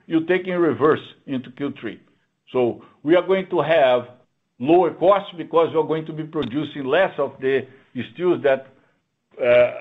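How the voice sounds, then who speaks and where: A man with a mid-pitched voice on a narrow, phone-like line. He talks as an executive answering questions unscripted, halting and doubling back on words, but emphatic and sure of himself. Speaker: company executive answering analysts. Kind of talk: unscripted earnings call answers. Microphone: conference call line.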